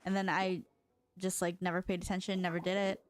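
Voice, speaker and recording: A woman's voice: fairly low pitch, flat delivery, brisk, no echo. Chatty young woman from China, rambling. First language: Chinese. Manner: rambling, chatty, lots of fillers and restarts. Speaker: streamer. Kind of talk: casual chat stream.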